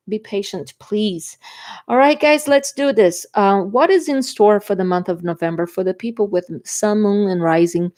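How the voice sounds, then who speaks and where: A woman's voice: mid-range voice, darkish tone. A woman from Brazil with a Brazilian Portuguese accent, whose native language is Portuguese, giving a chatty tarot reading to camera and often checking in with her viewers. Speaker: tarot reader.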